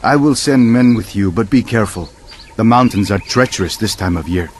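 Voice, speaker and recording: Man acting revolutionary general, deep voice, gallant, commanding, declamatory, game cutscene, slight ambient noise. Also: brisk, lightly acted. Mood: cautioning.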